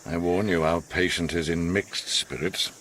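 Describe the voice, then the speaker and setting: An actor playing a manipulative, eccentric older man in a radio drama, measured, formal and theatrically commanding. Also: low-pitched, fairly even intonation, a bit bright, slight background noise. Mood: measured, knowing. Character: manipulative, eccentric, authoritative older male host, a self-styled psychiatrist.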